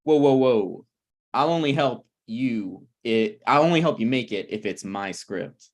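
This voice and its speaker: Man reading as brazen scheming slacker, animated delivery. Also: medium pitch. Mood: blunt, self-assured. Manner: blunt, presumptuous, halting. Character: Ryan, brazen, scheming slacker screenwriter, male. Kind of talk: table read of a TV pilot script.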